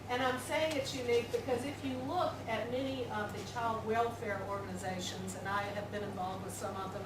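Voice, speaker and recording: A woman with a Southern American accent delivers an earnest conference talk in a fairly high voice. She is picked up from a distance in a big echoey hall. A loud hiss sits under everything. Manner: earnest, measured, emphatic repetition. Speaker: conference speaker.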